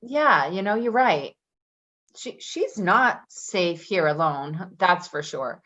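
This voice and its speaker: A woman's voice: fairly low pitch, lively intonation, slow, a bit bright, lo-fi sound, heavily acted. A woman acting a tough, defensive ex-convict in a play reading, blunt and combative in manner. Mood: matter-of-fact. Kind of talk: dramatic play reading, naturalistic dialogue.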